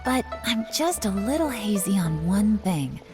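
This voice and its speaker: An actress playing a cool, commanding female secret agent in a video game dub, measured and authoritative as she directs a covert operation, over game music. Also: mid-range voice, sing-song. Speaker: covert intelligence agent leading a surveillance operation. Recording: game soundtrack music underneath.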